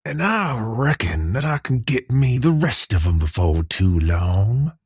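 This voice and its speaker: An actor playing a swaggering, profane gunslinger villain in a video game, with a taunting drawl. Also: male voice, mid-range voice, sing-song, a little slow, husky, no room sound, studio quality, heavily acted. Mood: menacing swagger.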